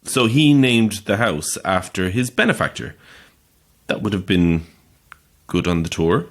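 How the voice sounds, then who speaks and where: A man's voice: lowish voice, animated, unhurried. A man playing a sceptical, grumbling holidaymaker in a naturalistic audio horror drama, with a dry, terse, questioning manner. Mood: intrigued. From Ireland.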